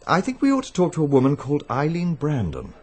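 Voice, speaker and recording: An actor with an English accent playing an anxious, urgent amateur sleuth in a radio drama, with a husky voice. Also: male voice, fairly high pitch, huge pitch swings, fast, a little mellow, heavily acted. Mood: reasoning.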